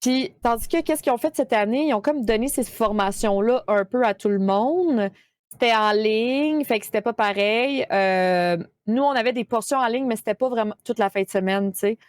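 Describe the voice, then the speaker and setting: A woman's voice: on the high side, normal speed, dry recording. Chatty Québécois woman, dry close sound. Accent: Québécois French. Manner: chatty, many fillers and restarts. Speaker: guest streamer. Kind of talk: casual podcast chat.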